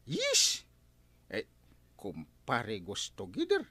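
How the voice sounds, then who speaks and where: Wildly animated Ilocano village captain. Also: male voice, lowish voice, drawn-out words, no room sound, full character voice. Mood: gleeful, boisterous. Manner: exclamatory, stammering when flustered. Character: flirty, fun-loving village captain, married man. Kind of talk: broad Filipino radio comedy drama acting.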